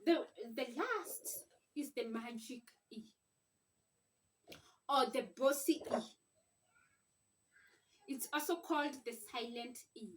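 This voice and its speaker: A woman's voice: high voice, slow, long pauses. A woman giving an informal reading lesson as a parent, explaining things in an emphatic, repetitive, teacherly way. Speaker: parent teaching early reading, video host. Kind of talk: informal instructional explanation to camera. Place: at home. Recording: distant mic, faint background noise, clicks.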